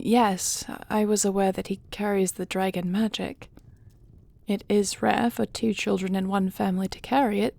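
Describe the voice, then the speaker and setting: A woman playing a dragon queen and devoted wife in an audio roleplay, speaking in a formal, measured, earnest way. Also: mid-range voice, creaky, a little mellow. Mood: tender, urgent.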